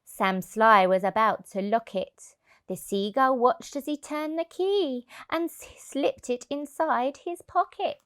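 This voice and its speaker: A woman's voice: sits high, slow, smooth. A woman reading a rhyming picture book aloud expressively for young children.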